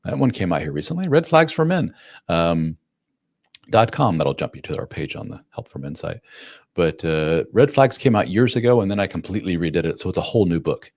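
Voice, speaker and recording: A man's voice: deep voice, big pitch swings, a little fast, gravelly, darkish tone, dry recording, studio quality. A man in his forties hosting a live chat, reading viewer comments aloud and answering them in a frank, slangy, casual way. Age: 40s. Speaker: host and coach.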